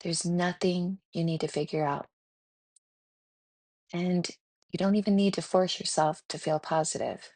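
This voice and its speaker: Woman, deep-toned, gentle meditation narration, soothing, spacious phrasing, dry close recording. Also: fairly even intonation, no echo. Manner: gentle, soothing, unhurried with pauses. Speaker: guided meditation host.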